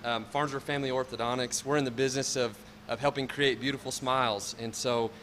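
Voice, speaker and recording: Fast flat-voiced man with restarts. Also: medium pitch, a little hiss or hum. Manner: friendly, halting, with restarts. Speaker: local business owner. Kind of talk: live TV interview answers. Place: large indoor mall space.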